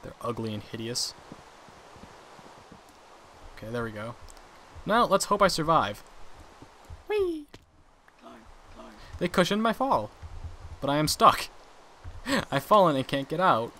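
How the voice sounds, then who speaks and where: A young man casually commenting on his own gameplay, chatty and quick to laugh at his mistakes. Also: on the high side, very animated, bright, forceful tone, slight background noise. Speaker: gaming channel host.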